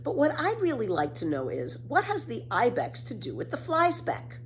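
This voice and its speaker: A woman's voice: fairly high pitch, wildly swooping pitch, moderate pace, slight background noise, voice pushed up. A woman voicing a cheeky, boastful young girl who spins tall tales in a children's storybook, with breezy, emphatic delivery. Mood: skeptical. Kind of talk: children's storybook read aloud.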